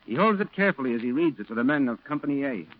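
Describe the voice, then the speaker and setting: An actor playing the narrator of a war story in scripted 1940s radio drama style. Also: male voice, medium pitch, animated, rapid-fire, lightly acted. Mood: intent. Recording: tinny, noisy old radio recording.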